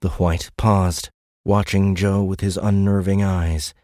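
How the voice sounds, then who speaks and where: Deep, mellow man narrating an audiobook. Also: flat delivery, slow, no room sound, studio quality. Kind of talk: scripted dramatic narration.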